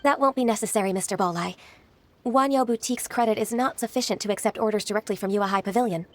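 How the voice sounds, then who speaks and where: An actress playing a gentle, composed and shrewd young secretary in a video game, speaking politely and thoughtfully. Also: female voice, mid-range voice, fast, slight background noise, natural voice. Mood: coolly polite.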